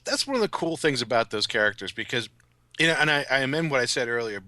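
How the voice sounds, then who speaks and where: Male podcaster, bright forceful tone, casual analysis, dry close sound. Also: medium pitch, animated, fast talker, no echo. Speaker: podcast co-commentator.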